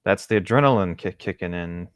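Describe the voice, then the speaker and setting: A man's voice: deep voice, fairly quick, dry recording. A man bantering casually on a podcast, making laconic, offhand contrarian comments. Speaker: podcast participant.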